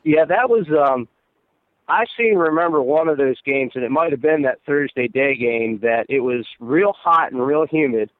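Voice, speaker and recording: A man's voice: mid-range voice, animated, moderate pace, dry recording. Man on a tinny phone line. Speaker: guest, former grounds crew member. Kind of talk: casual storytelling chat.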